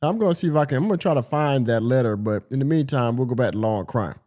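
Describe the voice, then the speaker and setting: A man's voice: medium pitch, animated, fast, darkish tone. A man with a cold, sounding nasal, casually hosting a livestream and talking loosely to his viewers. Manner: loose, rambling, talks to viewers. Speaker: podcast host.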